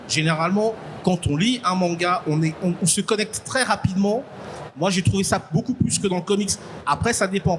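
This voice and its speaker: A man from Congo, a guest on a live podcast panel, explaining his ideas in a halting way and repeating words as he thinks. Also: high voice. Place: convention stage before a live audience. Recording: noisy live event ambience.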